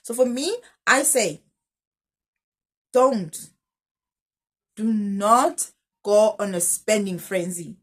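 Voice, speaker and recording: Husky woman giving advice. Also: mid-range voice, drawn-out words, long pauses. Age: 30s. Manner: direct, advising, piles up rhetorical questions. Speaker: teacher and finance vlogger. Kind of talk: casual money-advice vlog.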